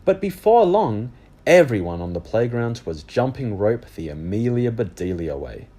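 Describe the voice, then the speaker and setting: A man reading a children's book aloud as animated storybook narration. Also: mid-range voice, normal speed.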